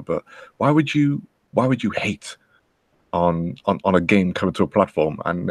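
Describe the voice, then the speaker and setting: Male panelist, very low creaky voice, halting casual talk, dry sound. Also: no echo. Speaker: podcast panelist. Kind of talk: casual chat.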